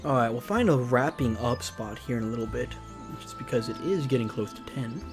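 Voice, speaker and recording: A man's voice: mid-range voice, expressive, brisk. A man streaming a visual novel, reading it aloud expressively with casual asides. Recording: music behind.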